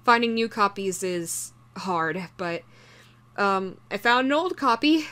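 A woman voicing an obsessive shapeshifting doppelganger posing as someone's ex, speaking haltingly in an intimate horror audio roleplay. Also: mid-range voice, expressive. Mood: nervous, ingratiating. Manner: halting, stammering, soft-spoken in menace.

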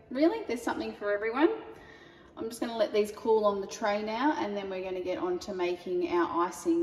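A woman's voice: on the low side, recorded from a distance, slight background noise. A woman, casually talking through a recipe as she cooks in a large home kitchen. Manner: chatty, relaxed, talks while working. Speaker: cooking show host. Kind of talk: casual cooking demonstration. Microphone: camera mic from a few metres. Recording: echoey kitchen, voice off-mic.

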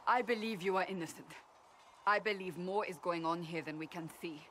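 A woman's voice: fairly low pitch, steady tone. A stern fantasy warrior woman for a game. She is devout and blunt, weighing her words with grave force. Faint background noise sits behind her. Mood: earnest. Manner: grave, forceful, deliberate phrasing. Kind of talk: video game cutscene acting.

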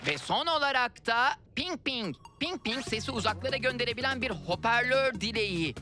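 A woman voicing a calm, knowledgeable guide to magic wishes in a dubbed children's cartoon, measured and gentle. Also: a little high, natural voice. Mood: explaining, gentle. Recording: music behind the voice.

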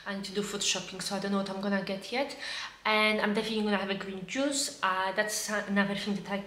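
A woman's voice: mid-range voice, flat delivery, fast talker, fairly bright tone, roomy sound. A woman in her thirties from Poland with a Polish accent, chatting casually to camera at home with many fillers and restarts. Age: 30s. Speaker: vlogger.

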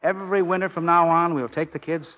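An actor playing a genial, restless middle-aged coach and devoted husband in a brisk, affable 1940s radio drama style. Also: male voice, a little high, fairly even intonation, close to an everyday voice. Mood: cheerful. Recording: dull old 1940 radio recording.

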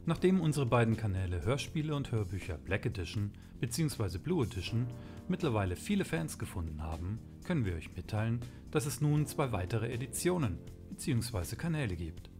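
A man's voice: fairly low pitch, big pitch swings, a little fast. A man hosting an audio drama channel, giving a friendly, formal scripted announcement to listeners. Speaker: channel host. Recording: voice over loud music.

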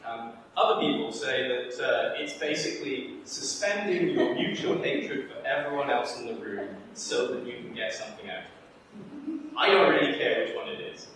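A man's voice: mid-range voice, expressive, moderate pace, a bit bright. A man from Edinburgh, director of an institute, opening a workshop with a chatty, wry talk full of ums. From Scotland (Edinburgh). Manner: chatty and self-deprecating, many ums, wry asides. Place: large lecture room with a projector. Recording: very echoey room, voice from far off, faint noise.

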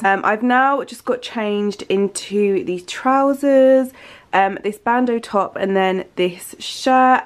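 A young woman in her twenties chatting casually to her handheld camera at home, enthusiastic and full of fillers, her nose stuffy from hay fever. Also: a little high. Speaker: lifestyle vlogger. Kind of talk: casual vlog chat to camera. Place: living room at home, indoors.

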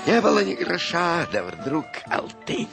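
Excitable, plotting young thespian; theatrical storybook delivery, unhurried, music underneath. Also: male voice, a little high, gravelly, exaggerated voice. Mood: dreamy, grand. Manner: theatrical, exclamatory, drawn-out musing. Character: excitable, scheming male stage actor, youngest of three penniless players. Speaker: audiobook performer. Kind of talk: theatrical dramatized reading of a classic short story. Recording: music behind the voice.

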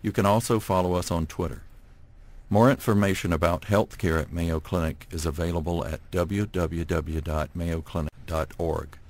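Announcer, male, very low voice, formal scripted outro read. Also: normal speed. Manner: formal, even, reading. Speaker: journal announcer.

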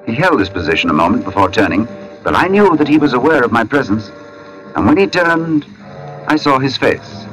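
An actor playing a brooding, polite gentleman narrator in a 1940s radio drama, measured, literary and intense. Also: mid-range voice, sing-song, rough recording. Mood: haunted, brooding. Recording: old radio broadcast with music.

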